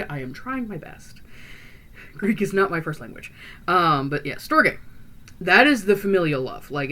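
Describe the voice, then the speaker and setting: A woman's voice: deep voice, a bit bright, a little hiss or hum. A woman playing a chatty, earnest young female vampire who talks in a rambling, hesitant way full of fillers and restarts. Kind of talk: in-character roleplay monologue.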